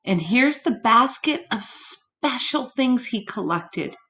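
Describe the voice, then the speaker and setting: Slow, animated woman reading a storybook. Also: a little high. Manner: pauses to address young listeners. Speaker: storytime reader. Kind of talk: expressive picture-book read-aloud for children.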